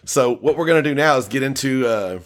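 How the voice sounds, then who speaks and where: A man's voice: medium pitch, fairly quick. A man hosting a casual music chat, rambling and restarting his sentences. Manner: rambling, chatty, restarts a lot. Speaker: podcast host.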